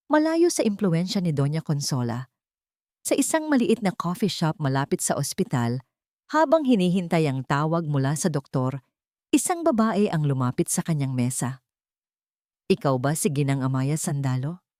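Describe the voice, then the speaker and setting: Low-voiced woman narrating a dramatic story. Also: a little mellow, no echo, clean studio sound.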